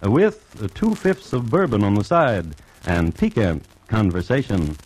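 An actor playing an urbane, wry crime connoisseur, narrating a 1950s radio series in a droll, deliberate way. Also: male voice, lowish voice, big pitch swings, darkish tone, rough recording. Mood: wry, ominous. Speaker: host and narrator.

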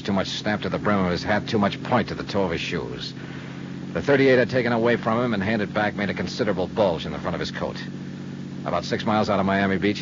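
An actor playing a world-weary, hard-boiled investigator, terse and wry, in 1950s radio noir. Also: male voice, sits low, noisy background, lightly acted. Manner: terse, dry, wry tough-guy delivery. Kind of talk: 1950s radio noir acting and first-person narration. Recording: muffled 1950s radio recording with music.

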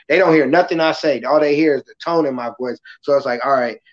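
A passionate, loud-voiced man hosting a casual podcast talk, acting out quotes as he goes. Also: medium pitch, highs cut off. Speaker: podcast host; kindergarten school worker.

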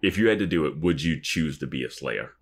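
A man with a very deep voice talks casually as a podcast co-host. His intonation moves around a lot, giving his off-the-cuff chat an animated, exclamatory feel. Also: a little fast, husky. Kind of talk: casual chat about a TV show.

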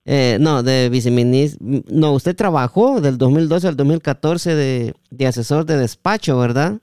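A man hosting a casual interview, halting and repeating words as he forms his questions. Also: mid-range voice, dark tone, clear, resonant tone, no room sound, studio quality. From Guatemala. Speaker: podcast host.

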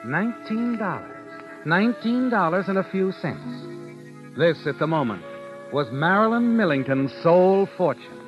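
Sly radio narrator over music. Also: male voice, sits high, sing-song, a little slow. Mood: sly, knowing. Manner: insinuating second-person address. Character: sly, knowing male mystery narrator, needling the heroine. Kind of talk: old-time radio mystery narration. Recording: muffled vintage radio broadcast.

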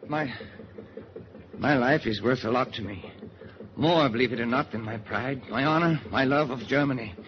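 An arrogant wartime Nazi spy, played by a male radio actor, who bargains for himself in a halting, theatrical way. The sound is a dull, noisy 1940s broadcast recording. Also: medium pitch, natural voice. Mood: pleading, bargaining. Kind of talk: 1940s radio drama acting.

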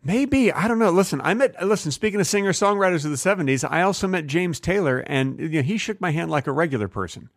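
Guy podcast cohost, talks fast, voice jumps around a lot, insistent mock-serious tall tales. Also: a little high, lively intonation, no room sound, clean studio sound. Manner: mock-earnest, insistent storyteller. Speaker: comedy podcast co-host. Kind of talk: improvised comic banter, telling tall tales.

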